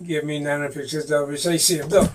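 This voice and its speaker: A man's voice: a little high, little pitch movement, quick pace. An older man from Guyana with a Guyanese accent, telling stories from his youth in a casual chat and laughing often. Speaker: guest, host's father.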